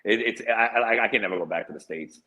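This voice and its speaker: A fast-talking man with a husky, low voice, chatting casually as a guest on a video call. He rambles and keeps checking in with "right?" as he talks. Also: bright, forceful tone. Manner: rambling, asks "right?" often. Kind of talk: casual chat.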